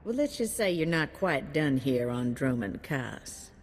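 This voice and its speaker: An actress playing a brash, streetwise bounty hunter's handler in a video game, folksy and cheeky. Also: female voice, extremely low pitch, sing-song, a little slow, full character voice. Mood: chummy, instructive. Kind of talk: scripted game character acting. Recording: long hall reverb.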